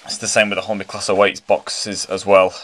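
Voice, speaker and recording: A man's voice: low-pitched, level tone, fairly quick, a bit bright. A man with a British accent chatting casually and eagerly while unboxing a model locomotive at home, rambling with many restarts. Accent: British English. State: slightly croaky throat. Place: indoors at home, carpeted floor.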